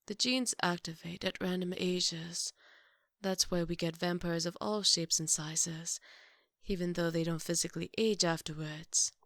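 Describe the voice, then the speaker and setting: A woman playing a composed, formal maid to a vampire, polite and gently teasing, in an ASMR roleplay. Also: fairly low pitch, steady tone, dry recording, studio quality. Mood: calm, reassuring. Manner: polite, measured, wry.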